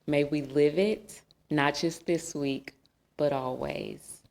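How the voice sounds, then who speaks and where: Slow, low-voiced woman preaching. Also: fairly even intonation, darkish tone. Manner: earnest, reflective, with rhetorical questions. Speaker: guest speaker. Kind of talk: sermon. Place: church sanctuary.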